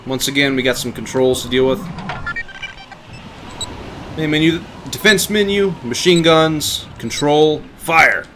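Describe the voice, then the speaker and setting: A man's voice: a little high, unhurried, pauses often, noisy background. A man giving casual, explanatory commentary on a game livestream.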